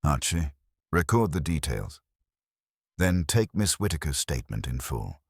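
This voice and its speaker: A man's voice: extremely low pitch, very animated, a little slow, breathy edge, no echo, clean studio sound, exaggerated voice. A man voicing an imperious, pedantic detective in a mystery audiobook, speaking in terse, formal, pompous phrases. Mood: imperious, dry. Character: imperious, pedantic, heavyset middle-aged male genius detective.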